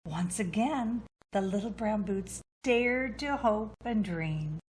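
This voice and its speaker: A woman reading a children's storybook aloud in a deliberate way. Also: medium pitch, darkish tone, slight background noise. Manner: slow, deliberate narration. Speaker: storybook reader.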